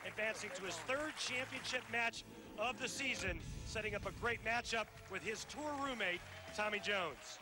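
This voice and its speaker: Folksy high-voiced man reading a recap. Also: moderate pace. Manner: folksy, emphatic. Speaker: sportscaster giving recap. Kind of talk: scripted recap. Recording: broadcast with music bed.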